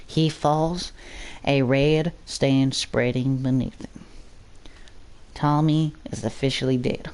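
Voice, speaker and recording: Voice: mid-range voice, fairly even intonation, slow pace, long pauses, a little mellow, smooth. A narrator reading a scripted action story aloud in a dramatic style.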